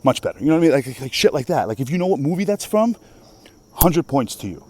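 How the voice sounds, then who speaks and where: Man, native Russian speaker, mellow tone, emphatic, swearing, rambling rant. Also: a little high, big pitch swings, fast, slightly rough. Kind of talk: casual rant monologue.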